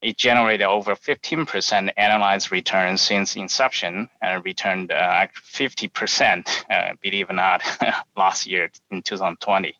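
A man in his forties with a Chinese accent, a guest on a podcast interview, talking in a measured way with many fillers. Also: low voice, fairly even intonation, bright, forceful tone, no room sound. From China. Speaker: guest, insurance investment professional. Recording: dull, lo-fi sound.

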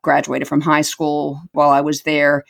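A woman's voice: deep voice, monotone, normal speed, vocal fry. A middle-aged woman telling her life story as an interview guest, earnest and reflective, repeating words for emphasis.

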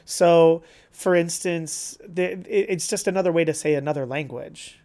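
A woman in a casual chat, talking in quick asides that trail off and restart. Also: low-pitched, dark tone.

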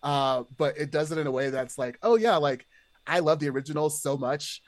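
A man's voice: a little high, brisk, no echo. A man chatting casually as a podcast guest, rambling along and peppering his sentences with "like". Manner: rambling, heavily hedged with "like".

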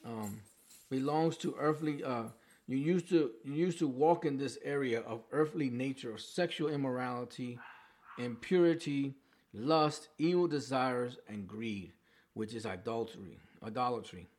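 A man with a New York accent talks through a Bible chapter like a casual preacher. His pitch sits in the middle range, and he restarts his sentences and tosses in "you know" and "okay?" as he explains. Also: unhurried, a little mellow. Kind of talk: informal Bible teaching. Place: home with a dog barking in the background.

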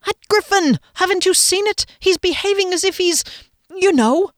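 A woman's voice: very high-pitched, normal speed, no echo, clean studio sound, pitched above the performer's own voice. A woman voicing an anxious, agitated man in an audiobook, speaking in a tense, urgent, fretful way. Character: anxious, agitated man confronting his bandmate friend. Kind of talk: audiobook character dialogue.

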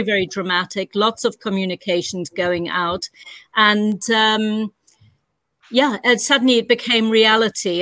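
A woman's voice: mid-range voice, fairly bright tone, no echo. A woman with a New Zealand accent, an international school director telling her story in a podcast interview in an articulate way.

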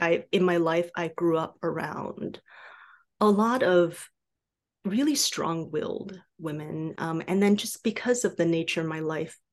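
A woman with a fairly low voice, from Taiwan and a native Mandarin speaker, chats as an interview guest. She thinks aloud as she goes, stopping and restarting, with plenty of casual filler words. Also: a little mellow. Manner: halting, thinking aloud, frequent "like" and "you know". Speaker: guest novelist. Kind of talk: conversational podcast interview.

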